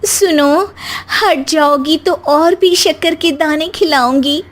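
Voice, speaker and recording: A woman puts on a naive young daughter-in-law for a comic bedtime tale. She is polite, earnest and soft-hearted, pleading in a very high voice. Each line comes slowly and innocently. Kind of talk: acted Hindi comic story dialogue.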